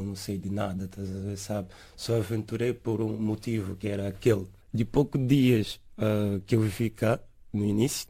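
Young são tomé guy, low mellow voice, kinda hesitant and relaxed, trails off a lot. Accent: São Tomé Portuguese. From São Tomé and Príncipe. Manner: halting, laid-back, trails off softly at phrase ends. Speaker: interviewee. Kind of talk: casual interview answers.

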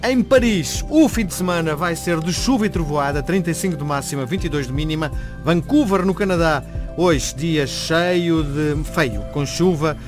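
A man's voice: on the high side, moderate pace, hardly any pauses, music in the background. A man with a European Portuguese accent hosting a conversational radio interview.

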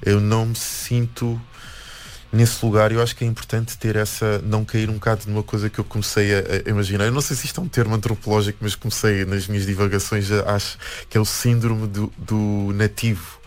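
A man's voice: fairly low pitch, little pitch movement, a little fast, a little mellow. Hesitant man with European Portuguese accent. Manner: hesitant, many restarts and fillers. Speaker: musician and anthropologist guest. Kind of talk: reflective interview talk.

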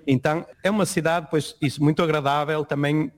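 A man from Portugal, a university lecturer answering interview questions in a relaxed, conversational way with frequent restarts. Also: fairly high pitch, brisk. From northern Portugal. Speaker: guest, university lecturer living abroad.

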